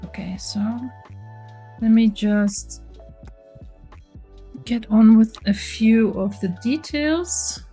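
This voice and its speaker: Slow-talking Dutch woman chatting on stream. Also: a little high, dark tone, soft background music. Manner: chatty, reads chat aloud, frequent laughs and restarts. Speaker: live streamer. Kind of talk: casual livestream chat while working.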